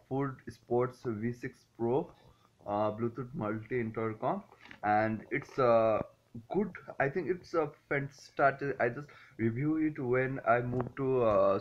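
A young man with a Nepali accent talks through a casual product review. His pace is slow and hesitant, broken up by frequent fillers, and his pitch sits in the middle for a man. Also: highs cut off, slight background noise. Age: 20s. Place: small furnished room indoors.

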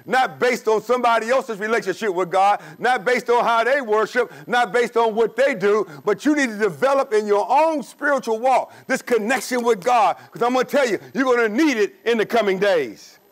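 A man's voice: very high voice, normal speed, few pauses. A man in his sixties preaching a sermon in a church, emphatic, with call-and-response questions. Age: 60s. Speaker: pastor. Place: church sanctuary with stone wall.

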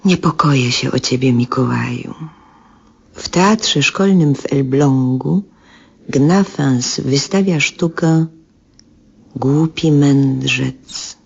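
A dull old radio drama recording carries a solemn, worried woman. She speaks very slowly and gravely, with a gravelly, husky edge to her voice. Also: extremely low pitch, heavily acted. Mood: grave concern. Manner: slow, deliberate, grave delivery. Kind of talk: 1970s Polish radio drama acting.

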